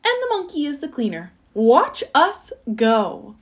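A woman puts on a proud lady giraffe from a children's storybook. She is confident and superior, easily offended, with a high voice that swings up and down. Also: huge pitch swings, measured pace, exaggerated voice. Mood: confident, businesslike. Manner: confident, showy, boastful.

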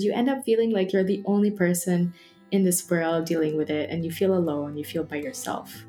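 A woman hosting a podcast and interviewing a guest, speaking calmly and sincerely. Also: on the low side.

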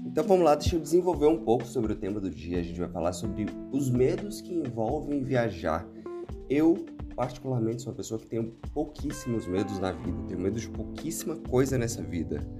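A Brazilian man with a hoarse voice, hosting a travel podcast in a chatty, upbeat solo talk with playful asides. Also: medium pitch, big pitch swings, a little fast, music in the background. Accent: Brazilian Portuguese. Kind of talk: casual solo podcast monologue.

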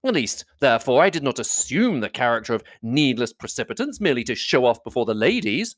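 A reader as an animated, amiable young gentleman. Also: medium pitch, a little fast, dry recording, clean studio sound. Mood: good-humoured protest. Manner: animated, good-humoured. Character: amiable, impulsive young gentleman, lightly self-mocking. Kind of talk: classic novel audiobook dialogue.

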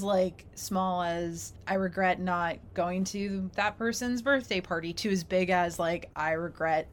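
A woman's voice: fairly low pitch, a little hiss or hum. A woman co-hosting a podcast in casual conversation, chatty and giggly in an informal way. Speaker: podcast co-host. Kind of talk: casual chat.

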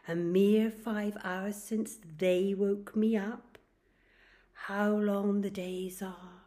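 A woman gives an expressive poetry reading at a very unhurried pace. Her voice sits at a middle pitch, and each phrase is measured and deliberate. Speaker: poetry reader.

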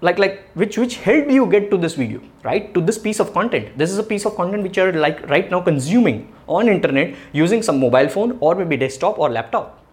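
A man's voice: high voice, lively intonation, a little fast. A man in his thirties with an Indian English accent, explaining a topic in a casual, chatty video, laughing between points and asking rhetorical questions. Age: 30s. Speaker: online marketing educator.

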